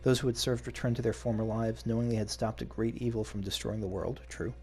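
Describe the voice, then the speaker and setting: A man's voice: on the low side, steady tone, fast talker, a little mellow. A man commenting on a video game playthrough, thinking aloud and reading story text out. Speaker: gamer playing through a video game and commenting. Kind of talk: casual commentary mixed with dramatic reading of on-screen story text. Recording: game music behind the voice.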